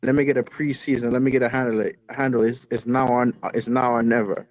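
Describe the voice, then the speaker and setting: A man's voice: medium pitch, little pitch movement, brisk, rough recording. A man calling in to a football fan stream, speaking casually but with passion and repeating phrases for emphasis. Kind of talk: impassioned fan rant, casual. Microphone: phone, video call.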